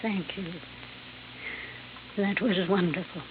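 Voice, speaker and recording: An actress playing a frail, dying, genteel old lady in an old radio drama, speaking in a halting, gracious, weary way. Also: mid-range voice, natural voice. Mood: weak, gracious. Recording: tinny, noisy old radio recording.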